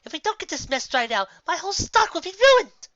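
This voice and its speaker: A distressed pony mare from a storybook, voiced by a narrator. She pleads urgently in a high, tense voice. The recording is dry and a bit dull. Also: sing-song, fairly quick, a bit bright, dry recording, exaggerated voice. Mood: urgent pleading. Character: distressed female pony friend pleading for help.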